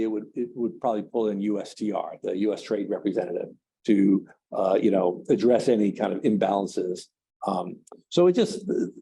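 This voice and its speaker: Casting note: man, low and very dark tone, slightly husky, hesitant seminar speaker full of "uh" and restarts. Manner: halting, many fillers and restarts. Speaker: trade specialist speaking at a seminar. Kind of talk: seminar talk, answering questions.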